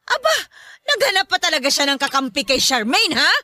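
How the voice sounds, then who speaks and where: Woman acting a shrill, scolding mother. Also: big pitch swings, fairly quick, bright, forceful tone, no room sound, studio quality, full character voice. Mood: suspicious, bossy. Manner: nagging, berating, exclamatory. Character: scolding, short-tempered working-class mother of grown sons. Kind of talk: Tagalog radio drama acting.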